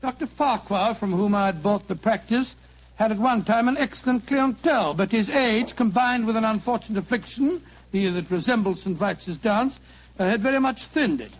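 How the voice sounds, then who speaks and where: An avuncular older English gentleman with a notably high voice. He tells his story fussily, doubling back on phrases with little hesitations. It is old radio acting, caught on a dull 1940s recording. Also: normal speed. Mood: fond reminiscence.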